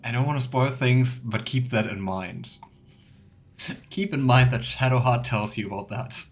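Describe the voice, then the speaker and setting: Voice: mid-range voice, a little slow. A let's player giving casual, musing commentary on a game and reading dialogue options aloud. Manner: musing, chatty asides.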